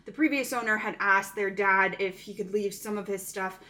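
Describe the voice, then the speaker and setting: A woman's voice: mid-range voice, fairly even intonation, moderate pace, pushed and bright. Chatty woman recapping a movie. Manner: chatty, many "um" fillers. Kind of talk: casual movie plot recap.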